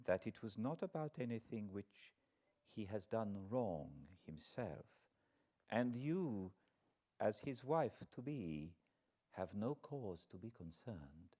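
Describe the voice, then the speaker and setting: A man voicing a gentle, devout nursing nun in an audiobook, measured and confiding. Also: fairly low pitch, big pitch swings, heavily acted. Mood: gentle, grave.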